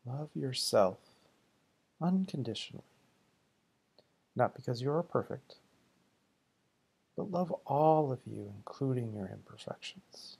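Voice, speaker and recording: A man leading a guided meditation in slow, deliberate, soothing short phrases, with a husky, gravelly voice. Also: mid-range voice, lively intonation, a little mellow.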